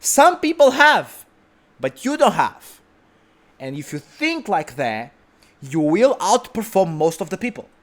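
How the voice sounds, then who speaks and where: A man's voice: high-pitched, very animated, a little slow. A young man giving an emphatic motivational talk, repeating his points and often asking "right?". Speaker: motivational speaker. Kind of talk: motivational monologue.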